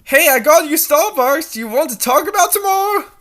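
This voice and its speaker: A woman as a high, encouraging brother. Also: expressive, brisk, a bit bright. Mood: caring.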